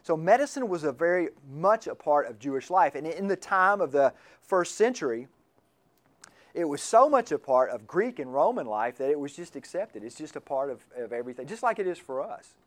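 A man with a slightly higher voice teaches a class in a loose, conversational way. He often doubles back on his words and restarts sentences, and he keeps checking in with the room. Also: very animated, fairly quick. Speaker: lecturer on missions and medicine. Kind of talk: classroom lecture.

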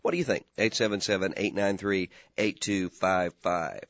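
Hoarse, gravelly talk radio host man. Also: deep voice, steady tone, moderate pace, a bit bright, no room sound, lo-fi sound. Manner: earnest, opinionated, repeats words when starting. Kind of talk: political talk radio commentary.

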